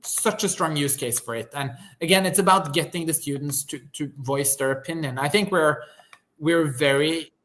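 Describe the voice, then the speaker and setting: A man's voice: on the high side, moderate pace, a bit bright. A man from Norway with a Norwegian accent, speaking as a founder in an eager, casual interview, often restarting his sentences. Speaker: company founder, guest. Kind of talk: casual live-stream interview.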